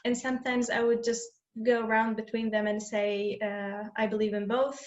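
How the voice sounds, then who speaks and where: A woman from Palestine speaks in a flat, measured way, pausing often with fillers as she thinks aloud. Her voice comes over a dull, echoey video call line. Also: fairly high pitch. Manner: thoughtful, halting with fillers. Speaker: panel guest. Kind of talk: panel discussion answer.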